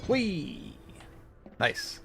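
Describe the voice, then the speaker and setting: A man chatting casually on a livestream while commenting on his gameplay, often thinking aloud haltingly. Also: on the high side, huge pitch swings, gravelly, pushed and bright, faint music behind. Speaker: livestreamer playing a game. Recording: faint background noise, game ambience.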